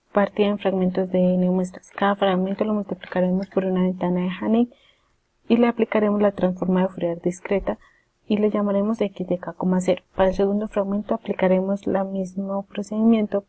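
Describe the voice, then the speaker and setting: Flat-toned woman narrating a lesson. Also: medium pitch, no echo. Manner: formal, methodical, reading step by step. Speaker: presenter explaining signal processing.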